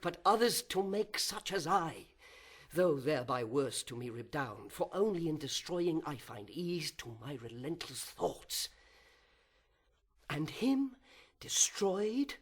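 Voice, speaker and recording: A man performing a proud, vengeful fallen angel in an audiobook of epic verse, theatrical and bitter, with drawn-out words and breaths of whisper. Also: fairly high pitch. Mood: tormented, bitter.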